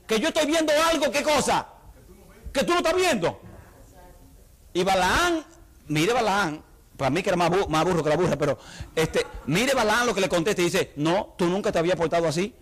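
A man's voice: high-pitched, lively intonation, brisk, a bit bright. A middle-aged man from the Dominican Republic with a Dominican accent, preaching a sermon and acting out the voices of the people he quotes. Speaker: pastor.

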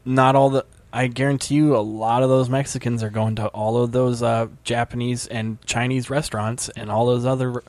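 A man's voice: mid-range voice, level tone, moderate pace, hardly any pauses, darkish tone, no room sound. Young man, easygoing podcast talker; mid-range voice, dry, close mic. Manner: easygoing, acts out quoted voices. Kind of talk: casual chat.

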